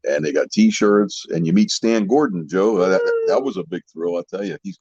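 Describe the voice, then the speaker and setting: A man's voice: low voice, normal speed, darkish tone, no echo. A man chatting casually as a podcast host in an agreeable, easily amused way. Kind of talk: casual chat. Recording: muffled lo-fi audio.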